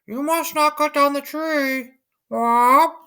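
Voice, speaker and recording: A man's voice: extremely high pitch, slow, sharp tone, voice pushed up, exaggerated voice. A man voicing a toucan who squawks shrilly and quacks between phrases. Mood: urgent.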